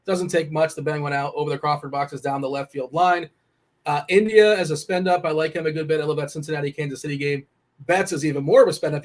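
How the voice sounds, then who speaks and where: A man's voice: fairly high pitch, darkish tone. A young man analysing fantasy baseball picks in casual chat, rambling and correcting himself as he goes. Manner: rambling, self-correcting, quick asides. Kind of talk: casual sports betting chat.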